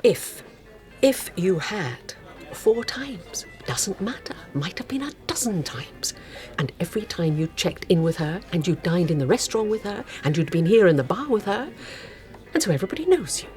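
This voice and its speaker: An actress playing a hurt, suspicious middle-aged wife in a radio drama, with a British accent and an insistent, sarcastic delivery. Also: female voice, on the low side, big pitch swings, normal speed, darkish tone, faint music behind. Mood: exasperated.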